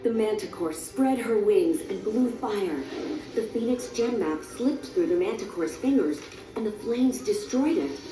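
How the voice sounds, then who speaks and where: A woman's voice: medium pitch, lively intonation, measured pace, echoey room, recorded from a distance, low-quality recording. A woman reading a scripted children's story as a storybook narrator. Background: music.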